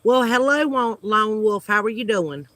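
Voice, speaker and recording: High, bright-voiced Southern man, slow chat. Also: dry recording. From United States. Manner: hesitant, many fillers, friendly small talk. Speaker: homesteading channel host. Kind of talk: casual livestream chat with viewers. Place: outdoors at home.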